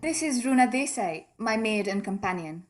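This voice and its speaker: A woman's voice: on the high side, animated, moderate pace, natural voice. A woman playing a proud, composed young lady in a radio drama, speaking with polite formality.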